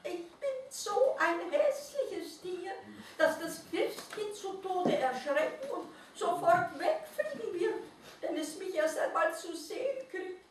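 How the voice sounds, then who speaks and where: A woman's voice: extremely high pitch, big pitch swings, takes it slowly, voice pushed up, full character voice. Woman doing a sobbing little naked mole rat. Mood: self-pitying. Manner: whiny and sobbing. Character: small, sad, self-pitying male naked mole rat. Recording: roomy reverb, distant mic, faint noise.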